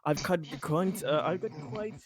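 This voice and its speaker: A man's voice: high voice, measured pace, background noise. A man playing a chatty, over-polite, needling character in a tabletop roleplay, rambling and stammering. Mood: mock concern.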